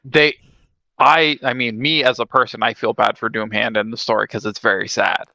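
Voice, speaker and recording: A man's voice: medium pitch, fairly even intonation, normal speed, vocal fry, bright, forceful tone, no echo, lo-fi sound. A man co-hosting a podcast, explaining his ideas in a casual, conversational way. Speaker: podcast co-host.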